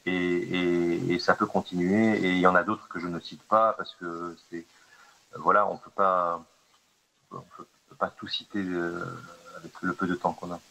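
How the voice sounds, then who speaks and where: Deep-voiced man, hesitant. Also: level tone, normal speed, creaky, bright, forceful tone, a little hiss or hum, low-quality recording. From Lebanon. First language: Arabic. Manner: hesitant, many fillers and restarts. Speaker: artist, conference guest. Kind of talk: answering questions at a conference discussion.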